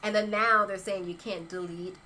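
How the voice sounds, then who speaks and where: Chatty bright-voiced young woman livestreaming. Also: mid-range voice, fairly even intonation, moderate pace, a little hiss or hum, low-quality recording. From Haitian heritage. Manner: chatty, laughs often, rhetorical asides. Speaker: lifestyle influencer livestreaming. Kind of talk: casual livestream chat reading comments.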